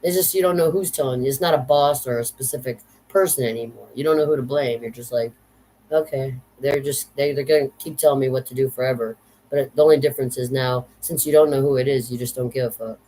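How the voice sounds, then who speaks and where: A woman's voice: rumbling low, steady tone, fast, dark tone, rough recording. A woman in her fifties delivering an unscripted rant to camera, blunt and profane, circling back over the same points with sardonic humor. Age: 50s. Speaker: vlogger.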